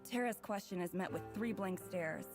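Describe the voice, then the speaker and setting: A woman's voice: medium pitch, normal speed, lightly acted. An actress playing a reflective, wry young woman who narrates her own story in a visual novel. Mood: wry, amused. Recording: music playing clearly underneath.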